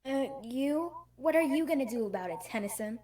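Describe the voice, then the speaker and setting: A sassy, feisty cartoon girl with a high voice, played by a woman at a table read. She sounds distant from the mic, with chatter behind her. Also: lively intonation, a little fast. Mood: sarcastic.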